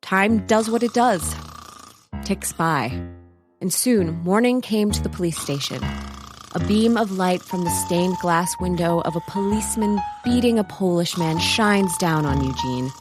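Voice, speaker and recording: A woman playing a wry storyteller, narrating a comic audio mystery in a deadpan, measured way. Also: on the low side, close to an everyday voice. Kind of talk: scripted narration. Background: music.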